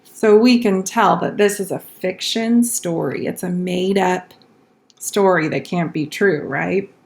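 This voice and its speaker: A woman reading a picture book aloud to young children as a kindergarten teacher, instructive, pausing to question and explain. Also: on the low side, creaky.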